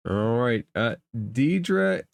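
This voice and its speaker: A game master narrating a tabletop game in a measured, matter-of-fact way. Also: medium pitch, big pitch swings, creaky, dry recording.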